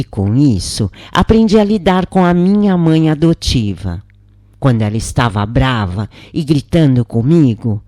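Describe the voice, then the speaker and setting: A woman's voice: low voice, mellow, no echo, clean studio sound. A woman with a Brazilian accent reading a scripted first-person spiritual story as narrator.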